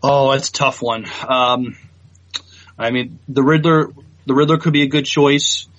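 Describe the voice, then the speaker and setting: A man's voice: medium pitch, fairly even intonation, a little slow, a bit bright, highs cut off. A man co-hosting a podcast, chatting casually about entertainment news and often thinking aloud in a hesitant way. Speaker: podcast co-host.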